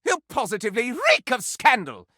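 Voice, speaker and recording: Flamboyant, wildly swooping candelabra dandy. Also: male voice, extremely high pitch, huge pitch swings, moderate pace, sharp tone, dry recording, clean studio sound, exaggerated voice. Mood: theatrical, teasing. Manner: florid, campy, melodramatic, affected continental airs. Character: flamboyant, gossipy, theatrical male candelabra dandy. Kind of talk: theatrical video game character acting.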